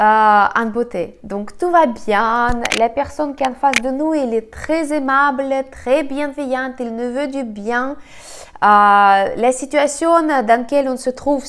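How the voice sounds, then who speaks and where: Woman with a cold giving halting advice. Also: sits high, clear, resonant tone. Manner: halting, frequent fillers and self-corrections. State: slightly congested from a cold. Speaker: feng shui and BaZi astrology consultant. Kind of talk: monologue giving monthly astrology forecast.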